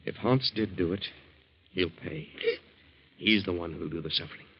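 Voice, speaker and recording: A man's voice: low-pitched, moderate pace, creaky, breathy edge, no room sound, full character voice. A man playing a soothing companion who consoles a grieving woman in an old-time radio drama, on a dull vintage recording. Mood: consoling.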